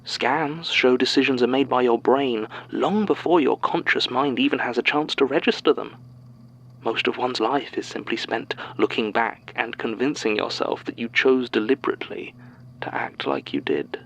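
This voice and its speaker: An actor playing a brooding man who muses in a measured, ominous, philosophical way in an audio drama monologue. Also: medium pitch, gravelly, fairly bright tone, a little hiss or hum, low-quality recording. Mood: grim, musing. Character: brooding male character musing on fate and powerlessness.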